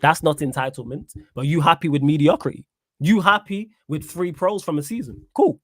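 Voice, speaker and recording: A man runs a football call-in. He talks a little high for a man and keeps butting in to keep score of his caller's points. The sound is dry and close. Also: normal speed, fairly bright tone, no room sound. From Manchester, England. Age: 30s. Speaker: host.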